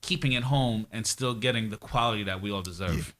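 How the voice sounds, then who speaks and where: A man's voice: lowish voice, fairly quick, fairly bright tone. A man appearing as a guest on a live show, speaking earnestly and with appreciation. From United States. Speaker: guest, bartending business owner.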